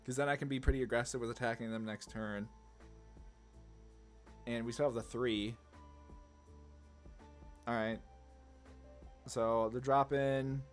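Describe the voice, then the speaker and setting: A man's voice: medium pitch, steady tone, unhurried, long pauses. Casual gaming man talking over music. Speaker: card game video host. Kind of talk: casual gameplay commentary, thinking aloud. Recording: music under the voice.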